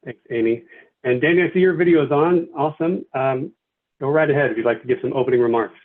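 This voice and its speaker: A man's voice: mid-range voice, fast talker. A man facilitating a virtual committee meeting, thanking people and walking through the agenda with many fillers and restarts. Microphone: video call. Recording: tinny phone-like video call audio.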